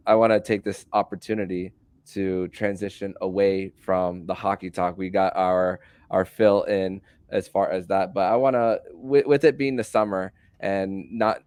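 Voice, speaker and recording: 30s guy, lowish voice, podcast host stumbling thru questions, dry sound. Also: darkish tone, clear, resonant tone, no room sound. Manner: halting, repeats words while asking questions. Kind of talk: casual podcast chat.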